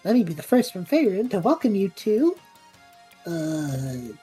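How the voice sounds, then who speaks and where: A woman playing a cocky, cheeky young male adventurer who talks in a flippant, chatty, excitable way in a fantasy audio drama. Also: medium pitch, a little slow, breathy edge, faint music behind. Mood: showman patter.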